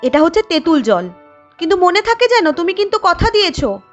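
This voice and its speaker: A woman's voice: very high-pitched, big pitch swings, brisk, lo-fi sound, faint music behind. A woman voicing a bold young village woman in a cartoon, who speaks firmly and scolds. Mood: scolding. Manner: firm, scolding. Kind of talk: cartoon voice acting.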